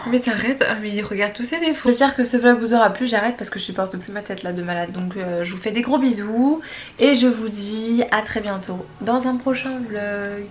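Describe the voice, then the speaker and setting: A woman's voice: medium pitch, normal speed. A woman vlogging at home on a handheld camera, stuffed up with a head cold, rambling chattily and laughing often. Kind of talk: casual home vlog. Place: home, indoors.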